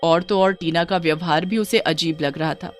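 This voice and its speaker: A woman's voice: fairly low pitch, fairly even intonation, moderate pace. A woman narrating a scripted children's horror story.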